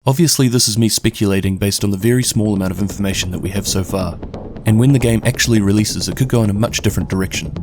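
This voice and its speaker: A man's voice: lowish voice, fast talker, noisy background. A man with a New Zealand accent delivering scripted narration as a video host. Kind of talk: scripted narration of game lore. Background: prominent background music.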